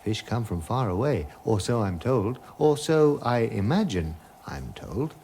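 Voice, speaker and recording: An actor playing a vague, gentle hermit who doubts all reality, in a radio comedy drama. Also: male voice, on the low side, very animated, a little slow, slight background noise. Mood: musing. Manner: musing, detached, hesitant, questioning everything.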